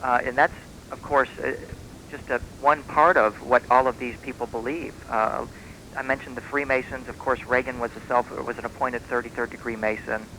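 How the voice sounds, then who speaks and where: A man, a guest author in a radio interview, explaining things in an earnest, measured and emphatic way, taped from shortwave radio. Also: mid-range voice, steady tone, sharp tone, slight background noise, low-quality recording. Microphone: radio broadcast.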